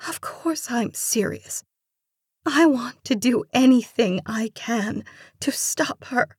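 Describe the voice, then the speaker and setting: A woman voicing a meek, polite but resolute immigrant woman in an audiobook story, soft-spoken and pleading. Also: medium pitch, slow, breathy edge, no echo, studio quality, voice pushed up. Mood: tearful.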